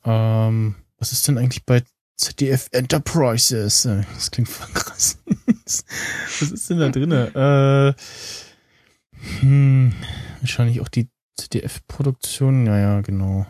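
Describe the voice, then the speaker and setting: A man co-hosting a podcast, talking casually and haltingly as he thinks aloud. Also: mid-range voice, darkish tone, no room sound, studio quality. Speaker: podcast co-host. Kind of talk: casual, unscripted podcast chat about tech and streaming.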